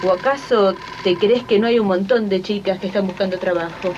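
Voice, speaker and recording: A woman's voice: medium pitch, a little fast, natural voice. A woman playing a bossy, manipulative Argentine employer's wife in a 1980s radio drama, imperious and impatient. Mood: imperious, threatening. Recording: dull, noisy 1980s archive radio tape.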